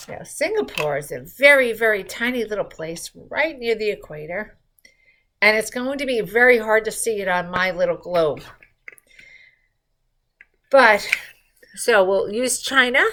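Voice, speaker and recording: A woman's voice: medium pitch, pushed and bright. A middle-aged woman hosting school morning announcements, speaking cheerfully to children from a seated spot in a school room. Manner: cheerful, addresses children, reads clues aloud.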